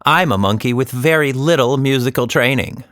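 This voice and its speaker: A man's voice: mid-range voice, moderate pace, a bit bright, no room sound, clean studio sound, voice pushed up, close to an everyday voice. A man voicing a cheerful, earnest monkey with proud musical leanings in a children's story. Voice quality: slightly out-of-tune singing.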